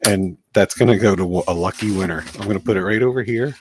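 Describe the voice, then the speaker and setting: Low-voiced man hosting a casual chat. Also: fast, slight background noise. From New Jersey. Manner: joking, rambling with many fillers. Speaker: host.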